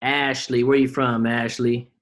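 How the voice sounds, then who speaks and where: A man leads a sales team's video call in an average-pitched voice. He keeps it loose and slangy. He pushes his point with a string of rhetorical questions. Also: little pitch movement, unhurried, fairly bright tone. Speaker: team call host. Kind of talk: motivational team call.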